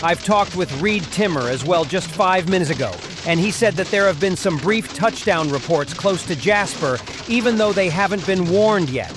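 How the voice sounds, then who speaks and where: A man's voice: high voice, lively intonation, moderate pace. A man playing a weather reporter giving an urgent, conversational storm update. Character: male storm reporter urging people to shelter. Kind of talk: informal live storm update.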